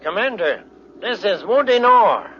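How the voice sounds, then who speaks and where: A high-voiced, smug space villain in a vintage radio serial. He sneers with mock courtesy, his pitch swooping about. The recording is muffled and old. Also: very animated, unhurried, soft background music.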